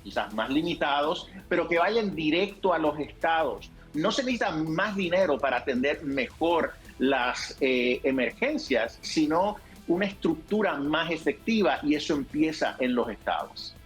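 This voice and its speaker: A man's voice: a little high, animated, normal speed, few pauses, faint music behind. A man with a Puerto Rican accent giving opinionated political commentary as a panel analyst. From Puerto Rico.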